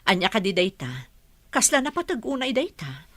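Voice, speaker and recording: A woman's voice: mid-range voice, sing-song, moderate pace, fairly bright tone, no echo. A woman playing a worried, questioning village mother in a radio drama. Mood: anxious. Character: worried village mother longing to see her child. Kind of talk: Ilocano radio drama acting.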